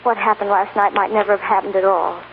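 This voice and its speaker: A woman's voice: mid-range voice, fairly even intonation, fast talker, vocal fry, narrow, tinny audio, close to an everyday voice. A young film star wife, played by a woman in a 1940s radio melodrama. She is heartfelt, earnest and self-sacrificing. The old broadcast sounds thin and noisy. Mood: resolute, sorrowful.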